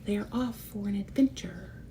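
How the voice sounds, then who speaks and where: A woman's voice: medium pitch, fairly even intonation, moderate pace, noisy background. A woman reading a picture book aloud to young children, expressively, with casual asides that explain and point things out. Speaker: children's read-aloud host.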